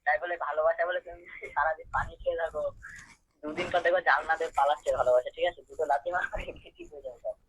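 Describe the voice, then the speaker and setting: A man's voice: fairly high pitch, expressive, rapid-fire, fairly bright tone, a little hiss or hum. A young adult man in a casual phone chat, protesting in an exasperated manner over a lo-fi line. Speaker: prank call recipient.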